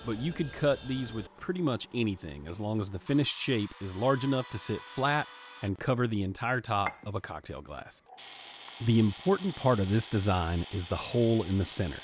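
A man's voice: on the low side, animated, normal speed, slight background noise. A man, hosting a woodworking channel in an upbeat, jokey how-to manner from his home workshop. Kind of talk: scripted workshop narration.

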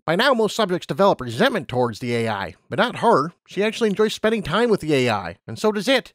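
A man voicing a brisk, enthusiastic male pony scientist in an acted audiobook, emphatic and matter-of-fact. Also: sits high, sing-song, no room sound, studio quality, pitched above the performer's own voice. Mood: impressed.

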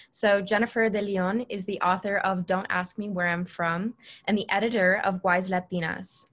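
A woman's voice: mid-range voice, a bit bright. A young adult woman moderating on a video call, reading an author's bio aloud with enthusiasm. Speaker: student moderator.

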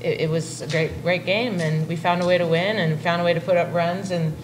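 Middle-aged woman coach, fairly low voice, calm and plainspoken, table mic, audible background hiss. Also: a little fast. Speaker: head softball coach. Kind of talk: postgame press conference answers. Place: press conference room.

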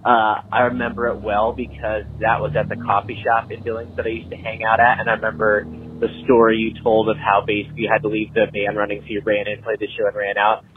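Over a tinny phone line with faint noise, an American man leaves a casual voicemail. His voice is fairly low and very even in pitch, like an ordinary listener calling in to a show. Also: fast. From Montana, United States. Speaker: podcast listener leaving a voicemail.

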